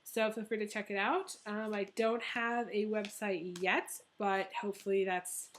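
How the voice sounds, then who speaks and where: Young woman, tarot vlogger, a bit hoarse, loose and rambling delivery, mid-pitched. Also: flat delivery, measured pace. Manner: chatty, rambling, many ums. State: hoarse, losing her voice. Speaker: tarot reader. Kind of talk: casual tarot reading vlog.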